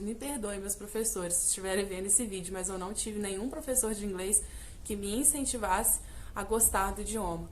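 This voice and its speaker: A young woman from Brazil, an English teacher, telling her story in a casual vlog with lots of fillers, speaking straight to her viewers. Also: fairly high pitch. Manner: chatty, direct address with many fillers.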